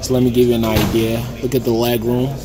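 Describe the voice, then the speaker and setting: A man talks his viewers through an aircraft in an easygoing, chatty way, dropping in plenty of "okay" and "uh". He is filming on a handheld phone inside a large hangar at an expo. Music plays clearly underneath the voice. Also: medium pitch, brisk. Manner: relaxed, chatty, lots of "okay" and "uh". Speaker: aviation vlogger. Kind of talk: casual walkaround tour. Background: music and crowd chatter.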